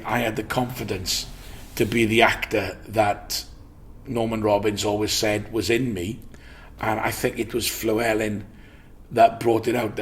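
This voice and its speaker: An older man with a Welsh accent, reminiscing about his acting career as a warm storyteller who often restarts his sentences. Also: mid-range voice. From Swansea, Wales. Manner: warm storyteller, brightens and gets louder when enthusiastic, frequent restarts. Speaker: actor recalling his theatre career. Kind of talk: reminiscing in an interview.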